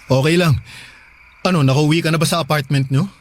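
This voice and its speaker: A man's voice: on the high side, steady tone, brisk, natural voice. A Filipino man playing an anxious, protective husband, fretting and urging in conversational radio drama dialogue. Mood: concerned. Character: anxious, protective provincial husband on a phone call. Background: faint music.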